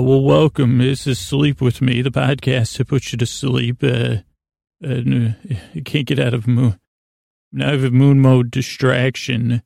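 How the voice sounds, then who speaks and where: Gravelly guy doing a rambly bedtime podcast, keeps interrupting himself, dry close mic. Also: mid-range voice, a little mellow, no echo. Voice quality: gravelly, creaky. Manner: meandering, self-interrupting, digressive. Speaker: podcast host.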